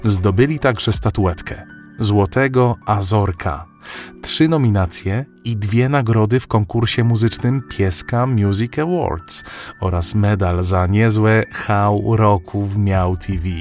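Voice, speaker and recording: A man narrating a scripted children's story in an expressive, wry way. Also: lowish voice, takes it slowly. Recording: faint music behind narration.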